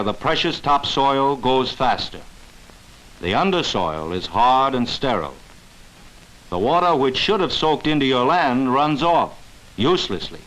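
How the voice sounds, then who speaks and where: A man narrating a mid-century educational film in an earnest, dramatic way with deliberate emphasis. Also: medium pitch, a bit bright, poor audio. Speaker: documentary narrator.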